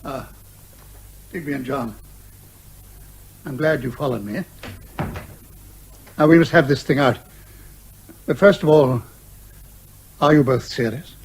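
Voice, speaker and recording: An actor playing an older British major, pompous, hearty and blustering, in an old-fashioned radio comedy style. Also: male voice, medium pitch, slow, creaky, long pauses, slight background noise. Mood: wounded, stiff. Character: blustering, genial old retired major, father who despises lawyers.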